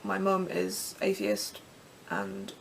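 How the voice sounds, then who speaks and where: Croaky young Englishwoman, slow and gravelly. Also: mid-range voice, big pitch swings, a little hiss or hum, rough recording. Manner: chatty, self-deprecating, many ums and restarts. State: croaky throat, coughing. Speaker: pagan vlogger. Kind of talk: casual rambling vlog answering questions. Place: bedroom in front of her altar.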